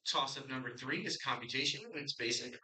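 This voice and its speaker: Male quiz moderator, mid-pitched and very bright in tone, relaxed with ums, reads questions steadily. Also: fast talker, low-quality recording. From Connecticut. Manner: relaxed, with frequent um and uh fillers between steady question reading.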